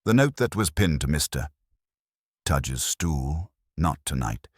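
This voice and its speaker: Man reading as deep, courteous police inspector. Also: very animated, slow, husky, dry recording, studio quality, heavily acted. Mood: polite probing. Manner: considerate, casual, probing. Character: courteous, methodical male police inspector in a mystery.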